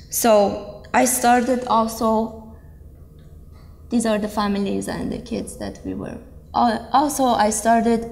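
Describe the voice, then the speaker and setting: Slow, gravelly-voiced young woman from Iran. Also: mid-range voice, darkish tone. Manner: earnest, hesitant, many ums. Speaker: student speaker. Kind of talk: personal testimony speech. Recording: echoey hall, long reverb.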